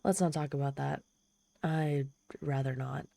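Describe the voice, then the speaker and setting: A woman's voice: very deep voice, fairly even intonation, measured pace, vocal fry, warm tone, dry recording, heavily acted. A woman playing a proud, lonely, desperate and socially awkward demon in a dramatic audio roleplay, haughty yet pleading. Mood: haughty, exasperated. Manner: haughty yet pleading, theatrical sighs and gasps. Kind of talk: dramatic ASMR roleplay storytelling.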